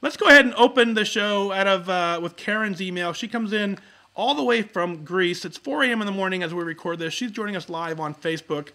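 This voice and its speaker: A male podcast host with a bright, forceful voice sitting a little high for a man. He chats casually and encouragingly with his live audience and often restarts his sentences as he goes. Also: fairly quick. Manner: upbeat and encouraging, with frequent filler words and restarts. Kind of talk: casual live-show chat and announcements.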